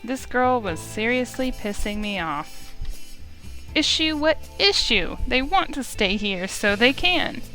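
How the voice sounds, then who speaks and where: A woman's voice: fairly high pitch, very animated, slow pace, sharp tone, faint music behind, full character voice. A woman playing an indignant young human heroine who narrates a visual novel in the first person and voices her own defiant lines. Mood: angry, defiant. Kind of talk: first-person story narration with acted dialogue.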